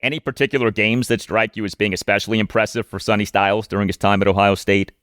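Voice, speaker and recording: An American man hosting a sports interview, reading out stats and posing prepared questions in a deliberate, announcer-like way. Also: on the low side, fairly even intonation, a bit bright, clean tone, no echo, studio quality. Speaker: sports podcast host, interviewer.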